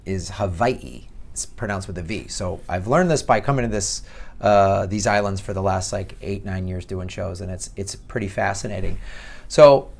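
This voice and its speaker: A man with a Midwestern accent talks in a loose, conversational way, reading from an article and stopping for sarcastic asides. His voice sits a little low. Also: creaky. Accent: Midwestern American. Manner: chatty, sarcastic asides with laughs. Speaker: comedian and political commentator. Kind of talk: casual commentary reading an article. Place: outdoors in Hawaii.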